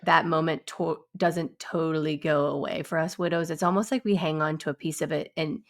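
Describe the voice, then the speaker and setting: A woman hosting a podcast interview, speaking gently and reflectively. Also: low voice, fairly even intonation, a little fast. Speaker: podcast host. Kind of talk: empathetic interview conversation.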